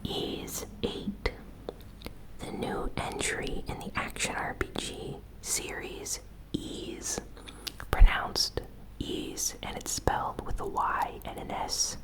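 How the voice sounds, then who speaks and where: A woman hosting an ASMR gaming news recap in a breathy whisper, with soft, unhurried, informal commentary. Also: low-quality recording.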